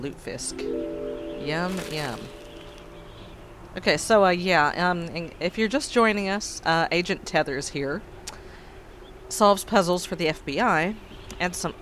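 A man hosting a let's play, hoarse and froggy after being sick, making wry, sarcastic asides over the game. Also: sits high, pushed and bright, slight background noise. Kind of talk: casual game commentary. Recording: faint game music behind.

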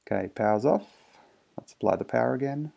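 Male hobbyist presenter, heavy vocal fry, mellow tone, casual demo narration, hesitant. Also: mid-range voice, a little fast.

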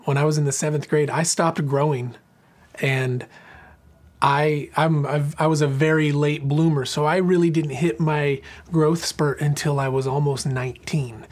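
Earnest reflective man in his thirties. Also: mid-range voice. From Southern Oregon, US. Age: 30s. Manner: earnest, reflective, with frequent fillers and restarts. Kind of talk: personal interview storytelling.

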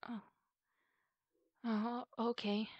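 A woman's voice: no room sound, highs cut off. A woman playing a distraught, ashamed werewolf girlfriend in an ASMR roleplay, stammering and apologetic, with sighs breaking up her words. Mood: weary, apologetic. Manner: halting, stammering, sighing. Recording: steady rumbling background noise.

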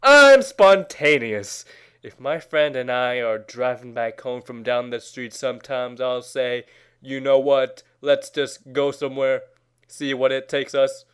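A man giving a mock-dramatic reading, theatrical and overwrought, with long pauses and swings between hushed and loud delivery. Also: mid-range voice, clean tone.

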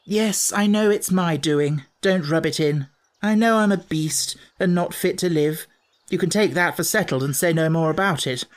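A woman's voice: fairly low pitch, moderate pace, voice pushed up, lightly acted. Woman reader as a blunt, slangy schoolboy. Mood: bitter, exasperated. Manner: blunt, slangy, old-fashioned. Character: blunt, practical schoolboy brothers in a storybook. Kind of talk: children's storybook character reading.

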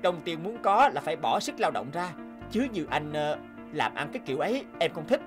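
An earnest young man in a cartoon moral tale. He is studious and upright, speaking with reproach and lively intonation. Music sits behind the voice. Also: on the high side, brisk. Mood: reproachful. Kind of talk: animated moral tale dialogue.

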